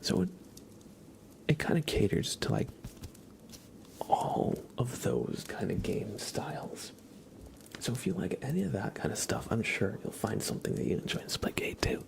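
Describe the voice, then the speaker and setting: A man's voice: mid-range voice, sing-song, normal speed, creaky, pauses often, a little hiss or hum. A young man whispering casual, rambling chat as the host of an ASMR livestream, often hesitating.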